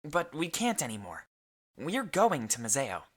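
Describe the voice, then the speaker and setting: A woman voicing an earnest, protective and level-headed companion in a fantasy visual novel, speaking with sincere, measured reasoning. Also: a bass voice, huge pitch swings, exaggerated voice. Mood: serious, searching.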